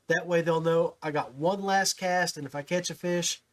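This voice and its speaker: A man with an American accent hosts a casual podcast. His voice sits a little high for a man. He speaks in a folksy, informal way, like someone chatting with buddies. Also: normal speed. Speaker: podcast host. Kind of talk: casual podcast talk and interview.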